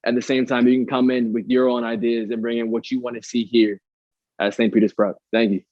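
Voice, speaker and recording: Teen student leader, flat voice, kinda halting, dull lo-fi sound. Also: male voice, medium pitch, no room sound. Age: late teens. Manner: halting, with fillers. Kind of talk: casual talk to visitors.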